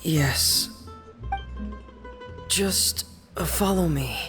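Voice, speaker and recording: A man voicing a shy, earnest teenage boy in a video game, halting and timid, with music behind. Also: fairly high pitch. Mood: reluctant. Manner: halting, timid, soft-spoken.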